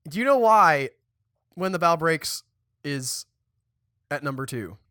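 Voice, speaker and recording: Lively, bright-voiced man podcast host. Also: a little high, takes it slowly, no room sound, studio quality. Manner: chatty, animated, restarts and stumbles mid-sentence. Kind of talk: casual chat.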